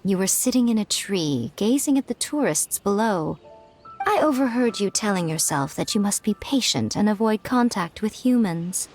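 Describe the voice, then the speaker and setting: A woman's voice: medium pitch, lively intonation, normal speed, a little mellow, soft background music, lightly acted. Woman as a poised, sly fox priestess. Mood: calm, knowing. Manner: composed, sly, elegant, self-assured. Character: poised, teasing, powerful female fox yokai priestess. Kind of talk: video game voice acting.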